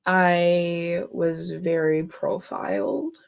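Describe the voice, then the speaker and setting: A woman from Minnesota hosting her own show, speaking with deep emotion in halting, earnest commentary. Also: fairly even intonation, vocal fry. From Minnesota, USA. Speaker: host. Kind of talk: emotional personal commentary vlog.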